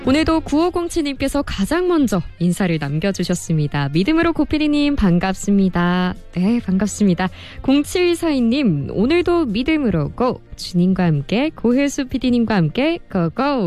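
A woman hosting a radio music show, reading listener messages in a polite, expressive presenter style. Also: mid-range voice, normal speed, hardly any pauses. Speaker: radio host and producer. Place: radio studio.

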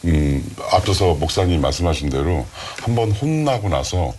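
A man guest with a deep, low-toned voice, telling a personal story politely and plainly in a conversational interview. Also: sing-song, moderate pace, darkish tone, a little hiss or hum.

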